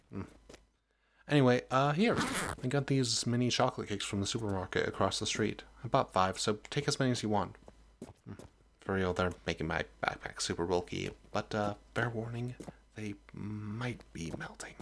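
A young man acting a sweet, oblivious college best friend in a roleplay audio drama, chatty, boyish and expressive. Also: fairly low pitch, vocal fry, a little hiss or hum, full character voice. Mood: casual, bored.

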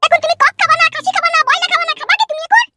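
Squeaky, rapid-fire nagging cartoon village wife. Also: female voice, over loud music. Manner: scolding, complaining. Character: nagging, tearful young village wife, affectionate but quarrelsome. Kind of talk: cartoon comedy dialogue.

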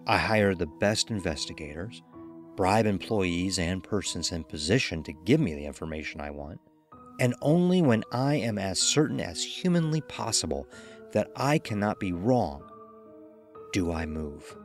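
A man narrating a horror story in the first person with deliberate, dramatic delivery. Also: low voice, sing-song, soft background music.

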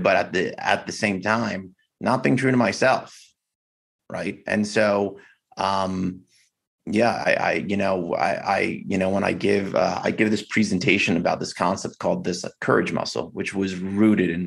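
A man speaking candidly as a podcast guest over a video call, reflective and halting, with many fillers and restarts. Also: low-pitched, creaky, slightly rough. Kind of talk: candid conversation.

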